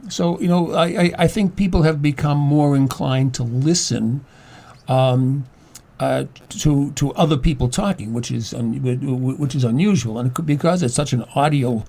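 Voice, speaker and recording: Older man, halting medium-pitched talker. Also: creaky, mellow. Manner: halting, stammering, repeats words while explaining. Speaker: studio guest, playwright and former teacher. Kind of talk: conversational interview.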